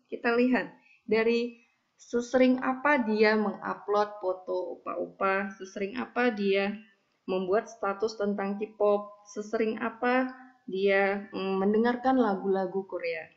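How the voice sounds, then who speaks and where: A woman explaining a lesson as a school teacher, in a didactic way with frequent little check-in tags. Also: medium pitch. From Indonesia. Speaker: high school math teacher. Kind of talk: recorded statistics lesson, explanatory. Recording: dull, lo-fi audio.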